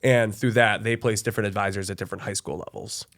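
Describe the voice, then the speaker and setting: A young man from Michigan, a college access adviser, chatting as a guest in an interview, relaxed and friendly, with many fillers and restarts. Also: on the low side, quick pace. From Michigan, USA. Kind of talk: casual interview conversation.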